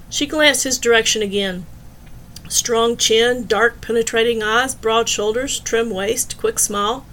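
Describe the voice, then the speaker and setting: Female audiobook narrator, higher-pitched voice, reading a novel in scripted storytelling style. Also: fairly bright tone, slight background noise.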